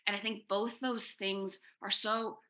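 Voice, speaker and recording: A woman speaking as a writer on a discussion panel, thoughtfully, often halting and repeating words as she forms her thoughts. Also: mid-range voice, little pitch movement, sharp tone. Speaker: comics writer and panel guest.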